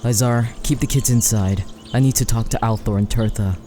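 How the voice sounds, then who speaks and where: A soft-edged, mellow voice for a careful, practical father in a fantasy audio drama, acted by a man. He speaks in a measured, questioning way, with light music underneath. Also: medium pitch, close to an everyday voice. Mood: concerned. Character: cautious, practical husband and father in a fantasy forest.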